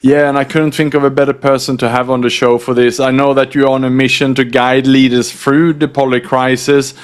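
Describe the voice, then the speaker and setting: A man hosting a podcast interview, speaking in a polite, welcoming and measured way. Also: medium pitch, flat delivery, smooth. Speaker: podcast host.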